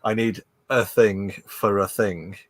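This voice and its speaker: A man's voice: fairly low pitch, steady tone, takes it slowly, no room sound. A man on a podcast casually telling an anecdote in a dryly amused way. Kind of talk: casual chat.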